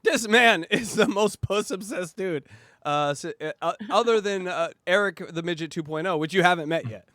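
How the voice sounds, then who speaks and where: Profane rambling podcast host, dry sound. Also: male voice, high-pitched, moderate pace, fairly bright tone, no echo. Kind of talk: casual reaction podcast chat.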